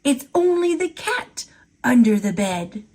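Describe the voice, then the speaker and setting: A woman's voice: sits high, sing-song, slow pace, a little mellow, full character voice. A woman voicing a small, crafty male leprechaun cobbler from a storybook, speaking in folksy turns of phrase. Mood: hushed soothing.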